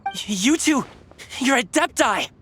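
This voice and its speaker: A brash teenage boy in a video game. The voice is fairly high and swings a lot in pitch, impatient and pushy, with music clearly behind it. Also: animated, unhurried, a bit bright. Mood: astonished, cocky.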